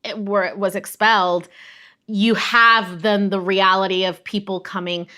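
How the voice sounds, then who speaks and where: A woman's voice: mid-range voice, flat delivery, a little slow, pushed and bright. A woman guest expert explaining history in a conversational, emphatic, teacherly way. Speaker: guest expert, museum director of education.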